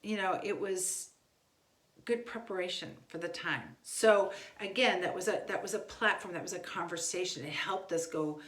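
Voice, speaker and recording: Female homeschool parent, lower-pitched, casual conversational teaching style, recorded in a reverberant room. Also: moderate pace, fairly bright tone. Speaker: homeschooling parent giving advice. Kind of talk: casual instructional talk. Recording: echoey room.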